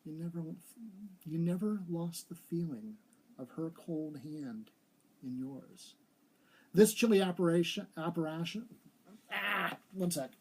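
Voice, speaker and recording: A man giving a live, expressive reading of an old literary ghost story, with a husky voice. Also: fairly high pitch, a little slow, long pauses, low-quality recording. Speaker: story reader.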